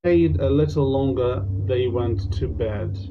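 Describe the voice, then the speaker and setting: A man telling spooky bedtime ghost stories in a halting, drawn-out way, with asides to his listeners. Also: medium pitch, noisy background. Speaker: storyteller, host of a bedtime ghost-story show. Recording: dull lo-fi recording with music behind. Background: prominent background music.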